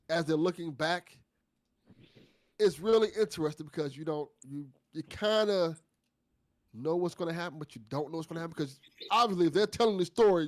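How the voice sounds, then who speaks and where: A man's voice: fairly high pitch, dry recording, clean studio sound. A man co-hosting a casual discussion, talking in a reflective, conversational and appreciative way. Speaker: after-show co-host.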